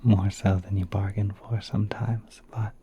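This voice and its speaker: A very mellow, breathy spider prince played by a man murmurs in a soothing ASMR roleplay. His delivery is low and even, often dropping to a whisper. He is courteous and a little shy, musing hesitantly as he talks. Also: a little hiss or hum, close to an everyday voice. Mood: welcoming, musing. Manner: hesitant, polite, musing, unhurried. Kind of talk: ASMR roleplay, soft-spoken monologue.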